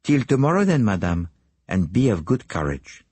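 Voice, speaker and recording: Narrator playing a polite sleuth, formal and probing, husky, lively delivery. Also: male voice, fairly low pitch, moderate pace, no room sound. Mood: businesslike. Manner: formal, businesslike, probing questions. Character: courteous, precise foreign male detective, middle-aged.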